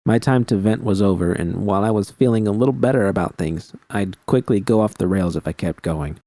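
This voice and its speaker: A man's voice: on the low side, brisk, dark tone, no echo. A man narrating scripted first-person fiction as an audiobook reader.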